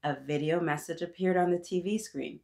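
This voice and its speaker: A woman hosting an interactive storytime, reading aloud to young children in an animated, encouraging way and talking directly to her little listeners. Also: lowish voice, moderate pace, gravelly.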